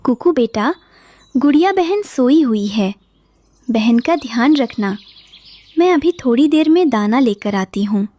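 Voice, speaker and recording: A gentle cartoon mama sparrow, played by a woman, with a fairly high, mellow voice. She speaks slowly, tenderly and patiently. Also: expressive, no room sound. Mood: caring. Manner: tender, coaxing. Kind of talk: children's cartoon voice acting.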